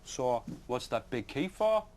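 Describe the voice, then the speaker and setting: A man's voice: mid-range voice, very animated, unhurried, a little mellow, slight background noise, voice pushed up. A man voicing a cowed yet nosy, gullible storybook dragon with a hesitant manner. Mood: curious, gleeful. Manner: hesitant, stuttering.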